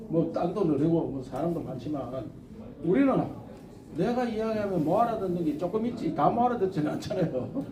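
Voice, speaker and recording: High-pitched older man with Gyeongsang dialect. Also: echoey room, far from the mic, background noise. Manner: rambling, folksy, emphatic, quotes people in dialect. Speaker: politician. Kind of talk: campaign speech.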